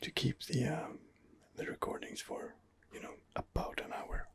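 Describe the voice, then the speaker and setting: A man giving ASMR game commentary in a breathy, husky whisper, hesitant and rambling as he muses his way along. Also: unhurried. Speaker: video game streamer, channel host.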